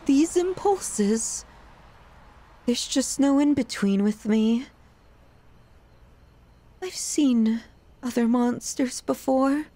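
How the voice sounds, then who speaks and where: A woman playing an obsessive, self-loathing young yandere in an intimate ASMR roleplay, with an emotional, halting, pleading delivery. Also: high-pitched, darkish tone. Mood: bitter, resigned.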